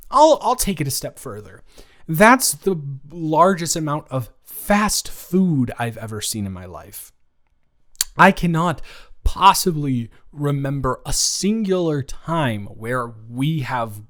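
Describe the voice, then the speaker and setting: A man playing a playful, teasing young best friend in an improvised roleplay audio, speaking casually with false starts and repeats. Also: on the high side. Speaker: audio roleplay creator and performer.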